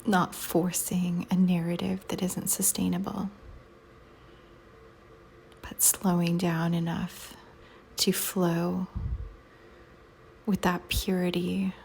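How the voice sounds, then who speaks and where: Slow, whispery woman guiding meditation. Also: on the low side, a little hiss or hum. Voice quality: soft, breathy near-whisper. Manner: slow, calm, soothing, with long pauses. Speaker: meditation guide. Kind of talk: guided meditation. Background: soft music.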